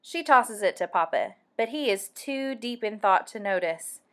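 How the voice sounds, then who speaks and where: A woman reading a picture book aloud for young children, animated and deliberate, acting out the sound effects. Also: medium pitch. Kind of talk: expressive read-aloud storytelling for young children.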